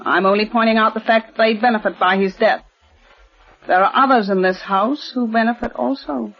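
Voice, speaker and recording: A woman's voice: a little high, steady tone, moderate pace, creaky. An actress in 1940s radio style playing a formal, insistent wealthy woman of forty. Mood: tense. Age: 40s. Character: tense, proper wealthy eldest daughter. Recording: old lo-fi radio recording.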